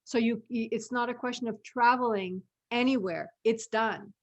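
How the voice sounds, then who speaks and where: A woman in a casual panel discussion, talking in a halting, musing way. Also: on the high side, dull audio. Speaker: writer and panel guest.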